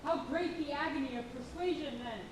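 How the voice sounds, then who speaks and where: Woman playing high, imploring loyal sailor. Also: a little fast, lightly acted. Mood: pleading. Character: loyal, tearful sailor pleading with his captain. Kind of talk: theatrical stage acting of a literary tragedy. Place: stage of a college auditorium. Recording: distant, echoey auditorium with rumbling noise.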